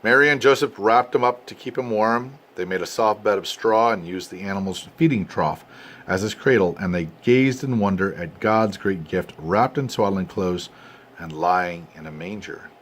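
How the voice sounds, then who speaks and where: An older man, a grandfather, reads a storybook aloud to his family expressively, with dramatic pauses and emphasis. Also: lowish voice, a bit bright. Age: 60s or older. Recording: noisy amateur home recording.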